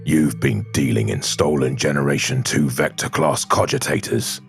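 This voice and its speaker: Man playing a gravelly, very deep-voiced stern captain. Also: animated, faint music behind, full character voice. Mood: cold menace. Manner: blunt, menacing, measured. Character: stern, threatening male arbitrator captain, hard-boiled and commanding. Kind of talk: sci-fi audio drama acting.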